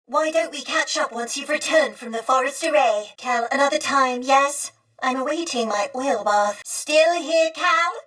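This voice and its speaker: A woman's voice: high-pitched, unhurried, fairly bright tone, far from the mic. A woman voicing a prim, courteous, fretful female droid from a bygone era in a video game, with formal, genteel phrasing. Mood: politely brisk. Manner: formal, polite, genteel old-fashioned phrasing. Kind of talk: video game character dialogue.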